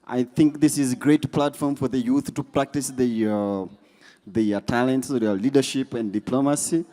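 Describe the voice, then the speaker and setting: A man with a Tanzanian accent addresses a gathering as a youth delegate. His voice is soft-edged and mellow, at a middling pitch. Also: normal speed. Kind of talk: short public remarks. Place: large indoor conference hall.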